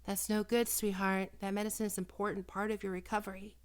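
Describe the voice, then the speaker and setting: A woman acting in an ASMR roleplay as a doting, possessive vampire posing as a small-town doctor, coaxing and teasing, often dropping into breathy whispers. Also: medium pitch, little pitch movement, fast, natural voice. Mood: concerned, chiding. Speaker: doctor character in audio roleplay.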